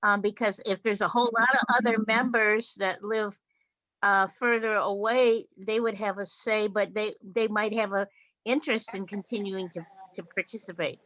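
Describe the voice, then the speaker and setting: A woman's voice: medium pitch, fairly even intonation, moderate pace, fairly bright tone, dry recording. A woman making her case in an informal online meeting, in a persuasive, questioning way. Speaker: club member in a meeting. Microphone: video call. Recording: dull, dry video-call audio.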